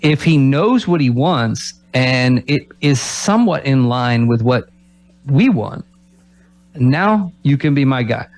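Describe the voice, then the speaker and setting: A man's voice: medium pitch, animated, slow. A man who coaches college basketball, co-hosting a radio talk show and speaking reflectively, often ending his points with rhetorical questions. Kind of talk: casual sports radio talk. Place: radio studio.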